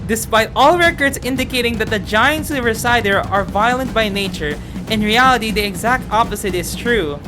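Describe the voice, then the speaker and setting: High-pitched, effusive woman streamer over music. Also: expressive, normal speed, few pauses. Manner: effusive, gasping, exclamatory. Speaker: game streamer reading a visual novel aloud. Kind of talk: excitable let's-play commentary and story reading. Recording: music behind the voice.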